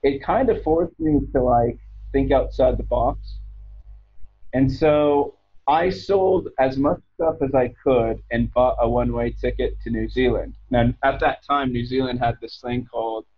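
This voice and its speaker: Husky American man, hesitant and casual. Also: mid-range voice, a little slow, highs cut off. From United States. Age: 30s. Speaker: interview guest. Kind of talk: casual conversational storytelling.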